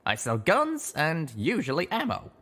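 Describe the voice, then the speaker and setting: A man's voice: on the high side, huge pitch swings, unhurried, sharp tone, pitched above the performer's own voice, exaggerated voice. A man voicing a jaded, sardonic century-old ghoul pony in a colt's body, rambling wearily in a storybook reading. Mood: sarcastic.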